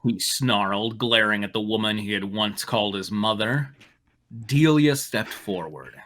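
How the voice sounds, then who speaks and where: A man's voice: lowish voice, a little slow, fairly bright tone. A man narrating fan fiction and adding joking commentary as he goes.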